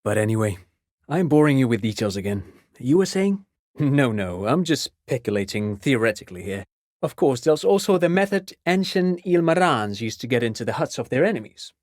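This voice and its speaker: A man's voice: medium pitch, very animated, brisk, a little mellow, no echo, clean studio sound, lightly acted. An actor playing a pedantic, absent-minded amateur scholar in a video game, an enthusiastic father who explains things eagerly and wanders into digressions. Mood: rambling, pondering. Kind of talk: video game character dialogue.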